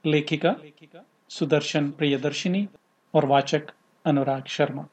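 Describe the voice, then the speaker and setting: A man with a slightly husky voice, narrating a scripted short story as a podcast host. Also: on the high side, fairly even intonation, measured pace, crowd noise. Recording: slightly dull, lo-fi podcast audio.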